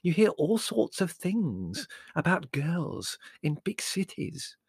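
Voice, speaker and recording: A man voicing a gentle, fretful middle-aged mother in a storybook reading, anxious and placating but firm. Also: on the high side, very animated, moderate pace, breathy edge, no room sound, voice pushed up, heavily acted.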